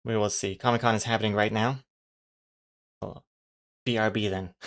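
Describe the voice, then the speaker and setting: A comic artist streams live and chats casually with viewers in a mid-pitched voice. The accent is mixed, not quite New Zealand. The talk rambles along as the artist thinks aloud. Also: fairly even intonation, normal speed. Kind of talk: casual livestream chat with viewers.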